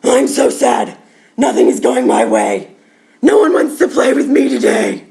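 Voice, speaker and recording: Sad storybook alligator: loud, booming, plaintive, pitch lurching about. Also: female voice, wildly swooping pitch, a little slow, creaky, full character voice.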